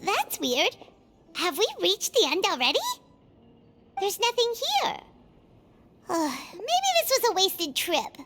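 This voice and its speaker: A woman voicing a small, excitable childlike fairy companion in a video game, squeaky and exclamatory. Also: slow, pauses often, full character voice. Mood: let down. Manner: exclamatory, expressive. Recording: background music over dialogue.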